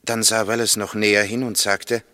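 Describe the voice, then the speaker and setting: An actor playing a plain, sober witness in a 1960s German radio play, giving a factual step-by-step account. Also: male voice, on the low side, flat delivery, normal speed, pushed and bright. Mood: sober recounting. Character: male witness, plain neighbor soberly recounting a grim discovery.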